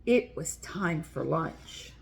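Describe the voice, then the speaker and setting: A woman's voice: deep voice, lively intonation, slow pace, vocal fry, a little hiss or hum. A woman hosting a children's story time, reading aloud and speaking directly to young listeners in simple, explanatory language.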